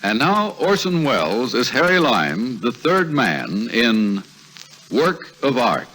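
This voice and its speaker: A man's voice: mid-range voice, sing-song, slow pace, low-quality recording. A man announcing a 1950s radio show in a formal, theatrical style. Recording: old radio recording, loud zither music.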